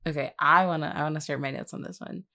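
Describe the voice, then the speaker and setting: A woman's voice: low-pitched, steady tone, fast talker, gravelly, bright, forceful tone. A woman chatting casually in a wry, teasing way as a podcast co-host. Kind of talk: casual behind-the-scenes podcast chat.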